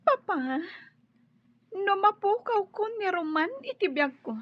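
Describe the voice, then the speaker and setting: A woman's voice: very high voice, lively intonation, a little slow, no room sound. An actress playing an anxious young daughter begging her father, in a radio drama. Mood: pleading. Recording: dry muffled studio audio.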